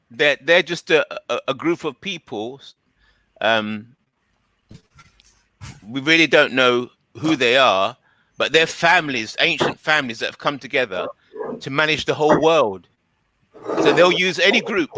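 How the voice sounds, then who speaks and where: A man in a casual conversation explaining his views at length, haltingly and often repeating words. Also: mid-range voice, lively intonation, bright, forceful tone, no echo, rough recording.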